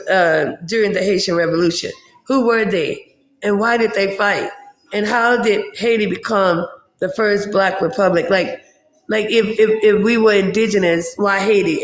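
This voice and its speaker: A man speaks as a guest on a casual live-stream panel, in an earnest, searching way with many restarts. His voice is high for a man. The recording carries an echoey room sound around him.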